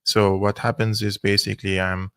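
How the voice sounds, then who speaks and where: Male political analyst, native Arabic and Armenian speaker, lowish pitch, dry close sound, emphatic live Q&A commentary. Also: flat delivery, normal speed, clear, resonant tone, no echo.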